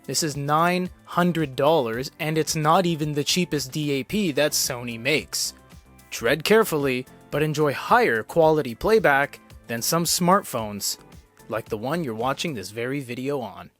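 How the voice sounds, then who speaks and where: A man's voice: fairly high pitch, lively intonation, a little slow, a bit bright, soft background music. Man explaining tech in a wry, scripted tone. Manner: explanatory with wry casual asides. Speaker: tech reviewer. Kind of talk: scripted product review narration.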